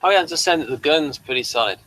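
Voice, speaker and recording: A man hosting a TV review show in casual, unscripted chat, with a rambling, halting delivery. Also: on the high side, lively intonation, bright, forceful tone, poor audio.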